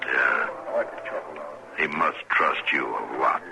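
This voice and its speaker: An actor playing a stern, dry, world-weary frontier marshal in an old-time radio western, speaking laconically. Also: male voice, very deep voice, takes it slowly, creaky, over music, heavily acted. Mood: probing, measured. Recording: vintage radio with crowd behind.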